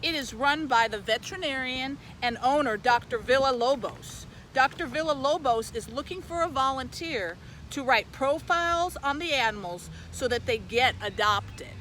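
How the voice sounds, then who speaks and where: A woman's voice: high voice, animated, normal speed, fairly bright tone, a little hiss or hum, low-quality recording. A woman speaking as a youth librarian, presenting and reading a children's book aloud, recorded on location.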